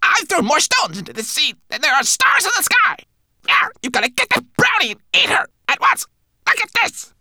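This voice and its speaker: Screechy raven servant, super high n sharp, all worked up, squawky complaining. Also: male voice, huge pitch swings, moderate pace, dry recording, exaggerated voice. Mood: frantic, indignant. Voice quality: shrill, squawking, cawing. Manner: agitated, repetitive exclamations. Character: frantic male raven servant, squawking and complaining to his master.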